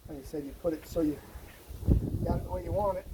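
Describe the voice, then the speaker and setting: High-pitched man, noisy outdoor how-to vlog. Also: fast talker. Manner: enthusiastic, folksy, talking while working. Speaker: host of a DIY Jeep project channel. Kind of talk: casual hands-on how-to vlog. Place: outdoors beside a vehicle, windy.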